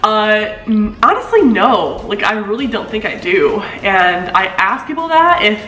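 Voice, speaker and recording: A woman's voice: very animated, measured pace, a bit bright. Chatty young woman in an echoey room. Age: 20s. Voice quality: deeper voice for a woman. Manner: chatty, laughs often, swoops up in pitch. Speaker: vlogger. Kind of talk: casual chat reading comments. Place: living room of an apartment. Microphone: camera mic at a few feet.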